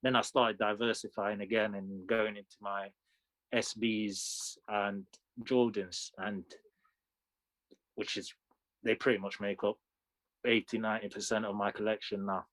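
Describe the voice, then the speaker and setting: A man's voice: on the low side, little pitch movement, measured pace, a bit bright, dry recording, rough recording. A man in his thirties from Sudan, chatting casually and telling his story as an interview guest. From Sudan, moved to the UK when young. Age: 30s. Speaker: interview guest, sneaker collector.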